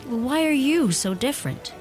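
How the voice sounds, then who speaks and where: An actress playing a warm, curious young woman in a radio play. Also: high-pitched, lively intonation, moderate pace, soft background music. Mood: thoughtful. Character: warm, curious young American woman new to rural Ireland.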